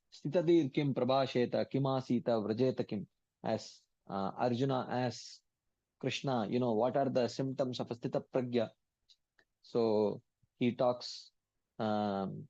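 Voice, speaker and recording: A man leads a scripture class over an online call, chanting verses and then explaining them. His talk is halting, full of fillers and restarts. He comes through a computer mic with dull, lo-fi audio and a dry sound with no room echo. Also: mid-range voice, creaky, a little mellow.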